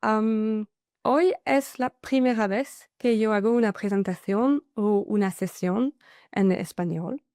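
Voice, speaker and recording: A woman from France with a French accent speaks Spanish as a second language, giving an online masterclass presentation and often pausing with "am" and "so". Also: medium pitch, darkish tone, no echo, studio quality. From Paris, France. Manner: hesitant, with many "am" and "so" fillers. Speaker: guest speaker, consultant.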